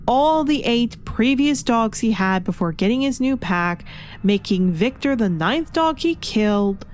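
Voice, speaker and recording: A woman reviewer delivering scripted video essay commentary in an opinionated, argumentative way. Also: high-pitched. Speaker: comic book reviewer.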